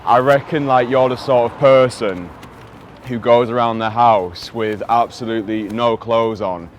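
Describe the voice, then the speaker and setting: A man's voice: mid-range voice, fairly even intonation, measured pace, dull audio, slight background noise. A man doing a cheeky street interview outdoors, teasing and joking with a playful manner.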